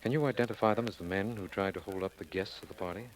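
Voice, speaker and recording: An actor playing an earnest, practical district attorney in a 1940s radio drama, plain-spoken and easily puzzled. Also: male voice, lowish voice, quick pace, lightly acted, rough recording. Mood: official.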